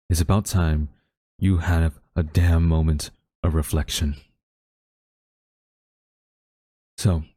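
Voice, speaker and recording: A man's voice: sits low, dark tone, dry recording, clean studio sound. A man acting a haughty government official with a secretly tender side, speaking formally and theatrically in a dramatic audio roleplay. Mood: stern, pained. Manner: formal, theatrical, deliberate wording. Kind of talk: dramatic audio roleplay monologue addressed to listener.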